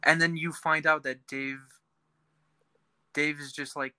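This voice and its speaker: Guy co-hosting a podcast, bright voice, just chatting about shows, really dry sound. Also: fairly high pitch, little pitch movement, slow pace, clean tone, no echo. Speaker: podcast co-host. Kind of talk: casual chat about a TV series.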